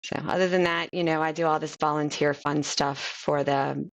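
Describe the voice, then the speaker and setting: A middle-aged woman with a Southern US accent talks in a low, gravelly voice. She is a chatty, playful guest who laughs easily, speaking from a corner of her home. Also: steady tone, moderate pace. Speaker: guest, software support manager. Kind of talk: casual video-call chat. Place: corner of a child's bedroom at home.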